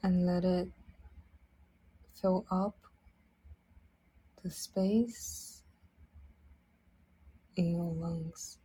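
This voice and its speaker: A woman guides a sleep meditation in a soft, very breathy voice that often slips toward a whisper. She speaks extremely slowly, leaving long pauses between short, gentle phrases. Her tone is dark and mellow, soothing throughout. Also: on the low side, steady tone, poor audio. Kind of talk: guided sleep meditation, slow instructional narration.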